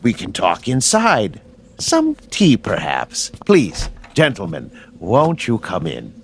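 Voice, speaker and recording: A man's voice: mid-range voice, wildly swooping pitch, slow, gravelly, slight background noise, exaggerated voice. A man acting in a radio drama as a genteel, fussy, unflappable caretaker with courteous, old-fashioned manners. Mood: genteel, chatty.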